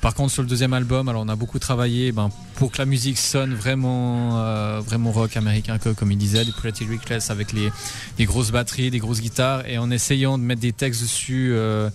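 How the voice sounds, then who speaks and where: Male songwriter, mid-pitched, halting interview answers full of restarts. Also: flat delivery, soft background music. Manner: hesitant, many stammered restarts. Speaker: rock band lyricist.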